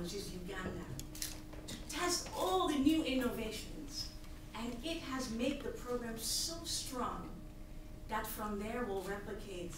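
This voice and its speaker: A woman in her thirties from Tanzania, giving an earnest, emphatic pitch as a nonprofit founder from a stage podium. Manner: earnest, emphatic, gesturing at slides. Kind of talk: prepared presentation speech. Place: large hall, on stage at a podium. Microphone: podium mic, recorded by a far audience camera. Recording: echoey hall from far off, hissy.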